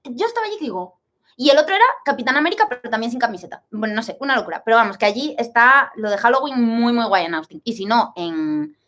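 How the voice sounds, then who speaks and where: A woman from Spain co-hosting a casual livestream, telling stories in an animated way and reading out viewer questions. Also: on the high side, fast. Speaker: livestream co-host.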